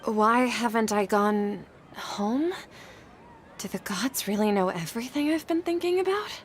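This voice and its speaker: A woman's voice: on the high side, animated, a little slow, a little hiss or hum. A woman acting an earnest, polite and devout young desert woman in a video game. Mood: apologetic, hesitant. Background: game music.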